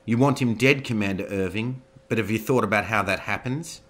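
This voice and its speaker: A man's voice: lowish voice, a little fast, fairly bright tone, voice pushed up. A man voicing a ruthless female military captain who taunts everyone in the hall. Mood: taunting. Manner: taunting, cold.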